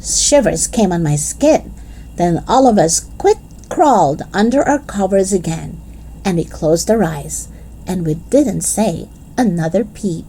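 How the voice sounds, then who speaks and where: A woman reading a children's storybook aloud in expressive scripted narration. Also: lowish voice, measured pace, rough recording. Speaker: children's book reader.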